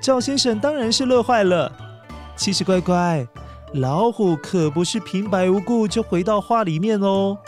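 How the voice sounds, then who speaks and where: A woman's voice: mid-range voice, lively intonation, moderate pace. A woman narrating a children's story expressively, speaking directly to young listeners. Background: faint music.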